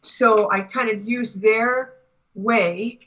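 An American woman talking conversationally as a guest spiritual teacher, explaining and telling anecdotes in a measured, deliberate way. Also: on the high side, steady tone, fairly bright tone, lo-fi sound. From United States. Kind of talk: conversational interview talk with anecdotes.

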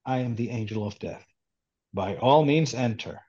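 A calm angel of death offers a quiet, gently menacing welcome, as a man reads the part aloud. The voice is low-key and mellow, and he reads evenly. The sound is slightly dull. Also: moderate pace, natural voice. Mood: calm, ominous. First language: Hebrew.

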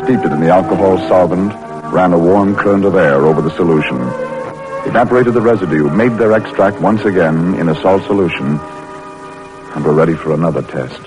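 A man performing as a solemn, measured narrator in an old-time radio drama. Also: low voice, close to an everyday voice, poor audio. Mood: solemn, suspenseful. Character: solemn male narrator of a medical history drama. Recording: old radio recording with loud music.

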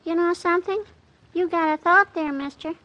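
Tiny squeaky schoolgirl, very high pitch, chatty, cheeky, old radio comedy, dull vintage recording. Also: normal speed, bright, forceful tone. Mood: artless, confiding.

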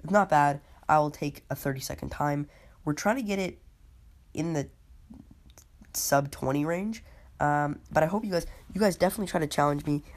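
Brief: woman podcast host under the weather, low voice, laid-back and filler-heavy play-by-play. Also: moderate pace. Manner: laid-back, many ums and uhs, talks through live play. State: sick, voice a bit off. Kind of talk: casual gaming podcast commentary.

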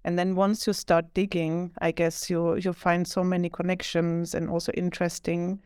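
A woman gives academic interview answers in a thoughtful, measured way. Her fairly low voice is smooth and clear, with little rise and fall. It is recorded close and dry. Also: level tone, darkish tone, dry recording. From Germany. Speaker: author, media scholar.

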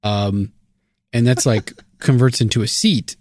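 A man's voice: mid-range voice, unhurried, darkish tone, no room sound, studio quality. A man co-hosting a comedy podcast, laughing through absurd made-up stories. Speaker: podcast co-host. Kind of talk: improvised comedy podcast banter.

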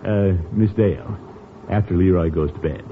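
An actor playing a pompous, flirtatious middle-aged uncle and small-town official in classic radio comedy, blustering and chuckling fussily. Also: male voice, deep voice, normal speed. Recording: noisy, narrow-band vintage radio recording.

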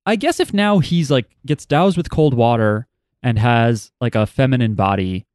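A man's voice: medium pitch, lively intonation, normal speed, a little mellow, clear, resonant tone, no echo. Rambling man, dry close sound. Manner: rambling, thinks aloud with many fillers. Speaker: podcast co-host. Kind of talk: casual chat.

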